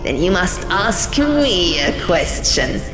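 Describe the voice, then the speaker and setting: A woman's voice: fairly low pitch, big pitch swings, slow pace, music in the background. A woman voicing a menacing, imperious old man, a scribe posing as a god, solemn and condescending, in an audiobook. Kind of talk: audiobook character voice.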